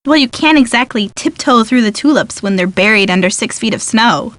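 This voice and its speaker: A woman's voice: a little high, lively intonation, a little fast, fairly bright tone, no room sound. An actress playing a practical, sceptical young woman in a video game, with a sarcastic, teasing manner. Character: sardonic, practical young tomboyish woman, sleuth's sceptical friend. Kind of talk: scripted video game dialogue.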